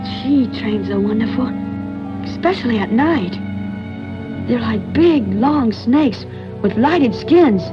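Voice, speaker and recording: A woman's voice: on the high side, expressive, slow pace, long pauses. An actress playing a chatty, restless young boy in a 1940s radio thriller, with an eager, gossipy manner. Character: chatty, restless young boy who dodges his strict mother. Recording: muffled 1940s radio recording with music.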